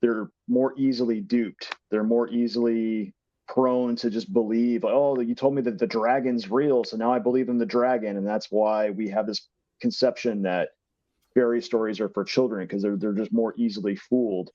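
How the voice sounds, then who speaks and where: A man's voice: on the low side, steady tone, moderate pace, mellow, dry recording. A man co-hosting a podcast, explaining things casually in simple, repeated phrases. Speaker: podcast co-host. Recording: dull, band-limited dry microphone sound.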